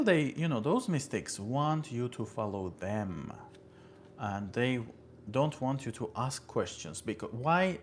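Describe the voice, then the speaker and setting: A man from Armenia hosting a relaxed livestream and chatting with his viewers. He thanks them by name, drifts into philosophy and fills his pauses with uh and um. His voice sits at an ordinary middle pitch for a man. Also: a little hiss or hum. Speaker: livestream host. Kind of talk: casual livestream talk reading viewer comments. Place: home in California.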